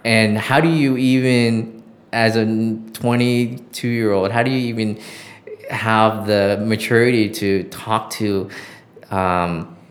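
A man's voice: fairly low pitch, steady tone, takes it slowly. A middle-aged American man telling stories as an interview guest, reflective and often searching for words. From Southern California. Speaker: interview guest, former journalist. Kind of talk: conversational interview storytelling.

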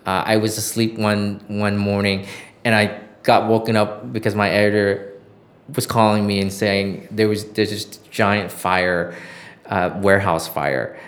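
Middle-aged man, American accent, low pitch, hesitant and reflective, conversational storytelling. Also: fairly even intonation, a little slow, a bit bright. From Southern California. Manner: hesitant, reflective, searching for words. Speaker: interview guest, former journalist.

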